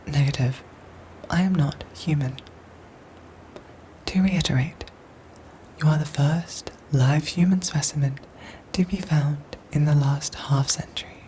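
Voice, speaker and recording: Woman as android soldier for ASMR drama: low, soft and whispery, formal, measured, gently protective, over noisy ambience. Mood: formal, matter-of-fact. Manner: measured, formal, robotic phrasing with careful pauses. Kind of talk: ASMR audio roleplay acting.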